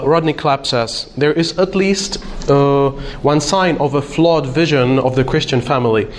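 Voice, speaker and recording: A man's voice: medium pitch, slight background noise. Man giving a talk. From Slovakia. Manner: hesitant, many uh fillers, self-deprecating humor. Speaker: conference speaker. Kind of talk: conference talk.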